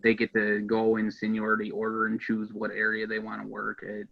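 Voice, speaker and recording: Even-toned American man, dull lo-fi sound. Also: lowish voice, a little fast, vocal fry. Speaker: police dispatcher, podcast guest. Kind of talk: casual interview talk.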